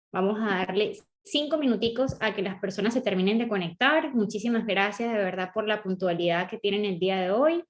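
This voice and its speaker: A woman from southern Venezuela, with a Venezuelan accent that sounds a bit Colombian, hosting an online webinar and greeting attendees warmly by name. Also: medium pitch. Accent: Venezuelan, slightly Colombian-sounding. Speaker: webinar host. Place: online video call.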